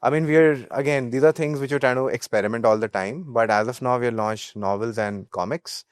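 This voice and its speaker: A man's voice: medium pitch, fairly quick, smooth, no room sound. Young Indian man, dry close sound. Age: young adult. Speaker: startup co-founder being interviewed. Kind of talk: business interview.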